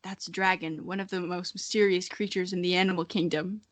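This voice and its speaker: A woman voicing a mysterious shadowy wolf spirit, a calm guide who speaks in solemn, formal prophecies for a story reading. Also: lowish voice, brisk, no echo, close to an everyday voice.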